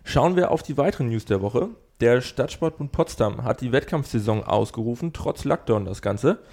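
A man co-hosting a club podcast, reading sponsor and club news in a steady way with small slips. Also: mid-range voice, moderate pace. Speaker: podcast co-host.

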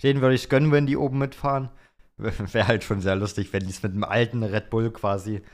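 Lowish-voiced young man in casual chat. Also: fairly even intonation, brisk. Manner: informal, youthful slang. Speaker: podcast co-host.

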